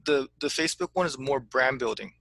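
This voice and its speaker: Bright-voiced man giving business advice. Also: medium pitch, a little fast, gravelly, no echo. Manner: eager, repeats words when starting, says "to be honest with you". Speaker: insurance business consultant. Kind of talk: casual one-on-one consulting chat.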